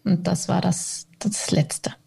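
A woman's voice: on the low side, level tone, takes it slowly, creaky, dark tone, a little hiss or hum. A woman speaking openly and reflectively as an interview guest, with a self-mocking edge. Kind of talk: candid personal interview talk.

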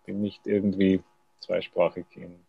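A man's voice: low-pitched, little pitch movement, moderate pace, creaky, narrow, tinny audio, a little hiss or hum. A man, a writer thinking aloud in a thoughtful, self-deprecating way as a radio interview guest.

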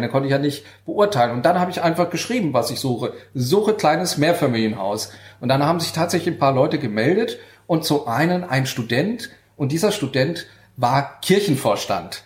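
Man in an echoey room. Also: fairly high pitch. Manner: fluent storytelling with many fillers. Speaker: guest, real estate investor. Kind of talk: podcast interview. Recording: roomy echo.

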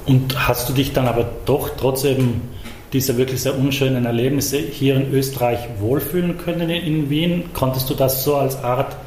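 A man interviewing a guest, asking careful, gentle questions. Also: mid-range voice, steady tone, moderate pace. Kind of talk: conversational interview. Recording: echoey room, faint ambient noise.

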